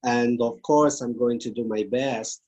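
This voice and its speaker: A man with a Brazilian accent and Portuguese as his first language, speaking earnestly and haltingly as an interview guest. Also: medium pitch, steady tone, darkish tone, soft background music. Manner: earnest, halting non-fluent English. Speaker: podcast guest, grief therapist. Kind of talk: interview, personal storytelling.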